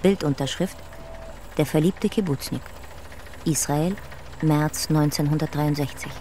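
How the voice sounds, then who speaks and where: A woman reading scripted narration in a measured, documentary manner. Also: low voice, dark tone, a little hiss or hum.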